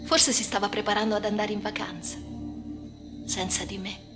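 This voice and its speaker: Casting: woman playing a troubled, defiant young woman, intense radio-drama monologue, medium pitch, clear music underneath. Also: flat delivery, moderate pace, natural voice. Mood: wistful, hushed. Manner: intense, swinging between hushed and agitated. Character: troubled, defiant young woman recounting her hospital confinement.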